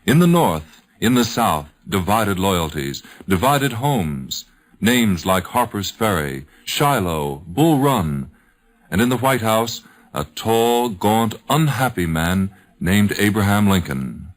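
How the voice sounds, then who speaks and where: A man with an American accent hosting a radio program, reading scripted narration in a solemn, formal, measured 1950s broadcast style. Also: lowish voice, sing-song.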